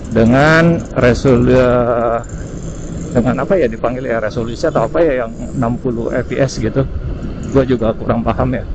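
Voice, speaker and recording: A man chatting casually through an unscripted review, often pausing to search for words. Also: mid-range voice. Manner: hesitant, searching for words, frequent tag words. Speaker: vlogger reviewing gear. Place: outdoors on the road at night. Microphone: helmet intercom microphone. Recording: muffled intercom mic with road noise. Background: vehicle and street noise.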